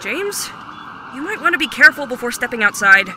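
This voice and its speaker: Lively, teasing woman sidekick, acted. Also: sits high, brisk, slight background noise, exaggerated voice. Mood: cautioning. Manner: playful, droll. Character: teasing, wry female sidekick on the phone. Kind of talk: audio drama acting. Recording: music under the voice.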